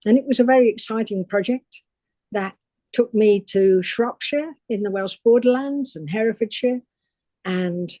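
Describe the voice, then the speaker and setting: An older woman from England, recounting her career as a guest on a podcast interview in a measured storytelling way. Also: mid-range voice, dark tone, no echo, rough recording. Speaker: guest paleontologist.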